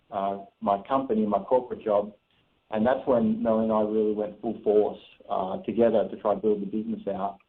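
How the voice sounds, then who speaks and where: A man in his thirties with an Australian accent, a guest telling his story in a chatty radio interview with easy laughs. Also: on the low side. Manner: chatty, laughs between phrases. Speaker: guest, real estate investor. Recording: phone-line interview audio.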